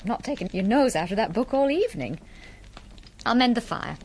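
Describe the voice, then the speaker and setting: Inquisitive period wife. Lively, highish voice, chattering and probing, radio drama. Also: female voice, normal speed, slight background noise, poor audio. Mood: musing. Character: curious, chattering farmer's wife seeking her husband's attention.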